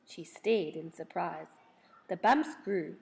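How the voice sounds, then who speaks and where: A woman reading a children's storybook aloud in an expressive, dramatic storytelling manner. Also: on the low side, slow pace, creaky.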